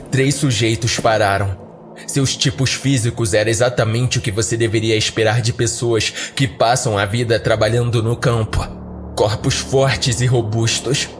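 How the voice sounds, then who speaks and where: Um homem com sotaque brasileiro narrando uma história de terror em primeira pessoa, de modo pausado e dramático. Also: male voice, medium pitch. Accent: Brazilian. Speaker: horror podcast narrator. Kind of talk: scripted first-person horror narration.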